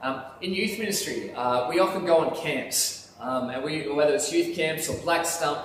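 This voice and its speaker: A man delivers a sermon, animated and emphatic. His voice sits fairly high for a man and booms around a large, reverberant church hall, picked up from some distance. A faint ambient hum hangs behind him. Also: normal speed. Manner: animated, gesturing preacher with lively emphasis. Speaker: pastor. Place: church sanctuary, in front of altar. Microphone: lapel mic, filmed by far camera. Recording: echoey church hall from far off.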